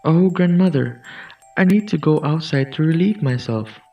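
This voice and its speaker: A man's voice: on the high side, moderate pace, pitched above the performer's own voice, natural voice. An innocent, curious storybook little girl, played by a man, in a raised, singsong, wondering voice. The sound is dull and a bit distant, with faint music behind. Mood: pleading.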